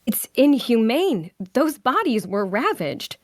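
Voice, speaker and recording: A woman's voice: fairly high pitch, very animated, takes it slowly, dry recording, clean studio sound, exaggerated voice. A woman playing an earnest, compassionate adventurer who insists on what is right, in a fantasy drama table read. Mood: indignant. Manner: indignant, pleading.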